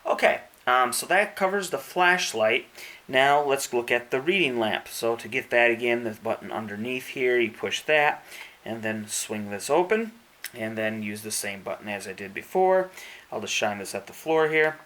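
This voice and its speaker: A man's voice: mid-range voice, expressive, moderate pace, pushed and bright. A man giving a casual hands-on product review, explaining each step methodically and checking in with the listener as he goes.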